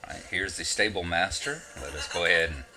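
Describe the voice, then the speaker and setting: A man's voice: deep voice, brisk, music in the background. A man with a Southern US accent giving casual, rambling gameplay commentary with self-mocking asides. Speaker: game walkthrough narrator.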